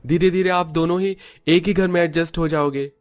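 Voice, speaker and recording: A man's voice: on the high side, steady tone, fairly quick, pitched above the performer's own voice, close to an everyday voice. A man voicing an earnest young Punjabi son, coaxing and reassuring, in a cartoon story.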